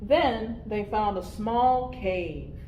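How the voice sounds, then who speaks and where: A woman reading a picture book aloud to preschoolers, with expressive, deliberate phrasing. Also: medium pitch, roomy sound, slight background noise. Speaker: storytime reader for preschoolers.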